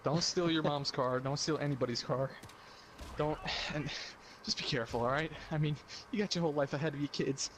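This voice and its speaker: A young man casually telling a comic personal anecdote on a podcast, animated and slangy with some swearing. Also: a little high, brisk, background noise. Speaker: podcast storyteller.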